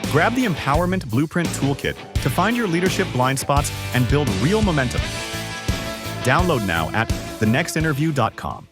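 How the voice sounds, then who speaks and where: Lively male announcer over loud guitar. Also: fairly high pitch, moderate pace. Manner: lively, polished announcer delivery. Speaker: show announcer. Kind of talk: scripted promo read. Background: loud guitar music.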